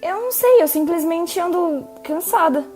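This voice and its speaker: A woman's voice: extremely high pitch, animated, moderate pace, warm tone, soft background music. A woman acting a tired, anxious young patient in an audio drama, answering in hesitant, unsure replies. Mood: weary. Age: teens.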